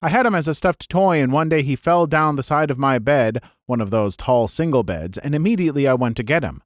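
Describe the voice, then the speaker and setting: A man's voice: mid-range voice, brisk, no echo, studio quality. A man narrating a storytelling channel, reading viewer comments aloud with a soothing voice and chatty, self-mocking asides. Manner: lively, laughs at himself in the asides.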